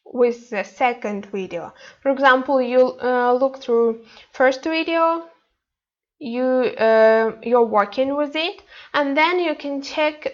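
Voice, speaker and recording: A young Ukrainian woman with a Ukrainian accent, a Korean language teacher introducing her online course with many pauses and fillers. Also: high-pitched, lo-fi sound. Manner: hesitant, many fillers and restarts. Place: indoors, webcam inset beside slides.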